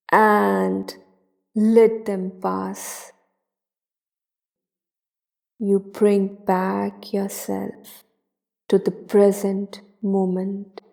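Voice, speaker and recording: A woman leads a guided meditation in a relaxed, mellow voice of medium pitch. Her delivery is extremely slow and deliberate. She speaks in short, soothing phrases with long pauses between them. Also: creaky.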